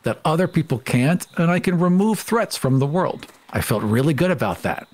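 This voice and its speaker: A man's voice: on the high side, animated, moderate pace, a little mellow. A man playing a tabletop game, improvising casually in the first person as his character. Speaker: player on a tabletop podcast.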